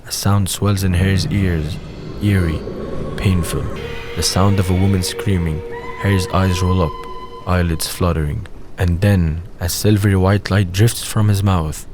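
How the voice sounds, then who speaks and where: Deep-voiced man narrating an audio drama. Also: fairly even intonation, unhurried, music in the background. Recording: faint background noise.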